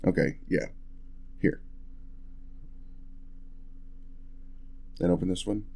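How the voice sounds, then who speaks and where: Man acting a deep-voiced, affectionate young husband. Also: normal speed, gravelly, slightly rough, heavily acted. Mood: sheepish, affectionate. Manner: soft, hesitant, chuckling, tender. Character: affectionate, playful young husband on Christmas morning. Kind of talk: ASMR boyfriend roleplay, intimate casual chat.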